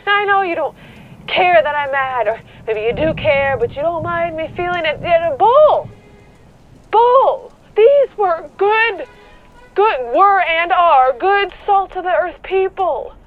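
A woman's voice: very high-pitched, expressive, slow pace, exaggerated voice. An actress in a radio play playing a grieving, exasperated rural woman who pleads and argues, stammering at times. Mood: angry, anguished. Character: grieving, angry rural woman arguing with God. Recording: thin phone-like sound with music.

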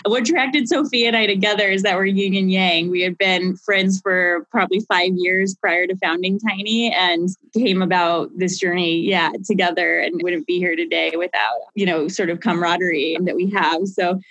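A woman's voice: lowish voice, steady tone, normal speed, few pauses, a bit bright, no echo, highs cut off. A woman in her thirties, a company co-founder telling her backstory in a rambling, laughing interview style. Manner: laughs often, rambling storyteller. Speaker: co-founder and guest. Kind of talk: casual interview answer.

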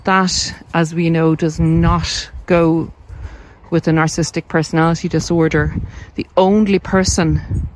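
An Irish woman with a slightly low voice speaks casually as she walks outdoors. She explains things in a reflective, informal way, pausing between thoughts as she addresses her viewers. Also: background noise. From Ireland. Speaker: video host, narcissism coach. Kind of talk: casual chat to camera while walking. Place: outdoors on a country walk.

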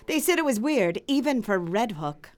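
Indignant proper lady, high-voiced. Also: big pitch swings, normal speed. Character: indignant, proper lady worried about her cousin. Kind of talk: radio drama acting.

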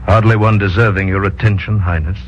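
A sinister palace servant speaks with stiff, icy courtesy in a very low voice, voiced by a man. The old radio recording is muffled and carries clear hiss. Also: steady tone, a little fast, close to an everyday voice. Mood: coldly polite. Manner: stiff, formal, coldly polite. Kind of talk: 1950s radio drama acting.

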